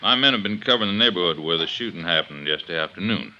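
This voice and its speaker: An actor playing a gruff, suspicious, hard-nosed police inspector in a 1950s radio detective drama, blunt and sardonic. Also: male voice, low-pitched, animated, rapid-fire, sharp tone, a little hiss or hum, heavily acted. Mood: brisk, stern. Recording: dull 1950s radio recording.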